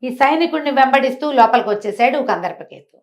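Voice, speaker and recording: Woman, slightly high voice, oral storyteller, conversational, rhetorical questions. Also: lively intonation, quick pace. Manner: conversational, asks rhetorical questions while narrating. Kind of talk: animated oral storytelling in a talk show.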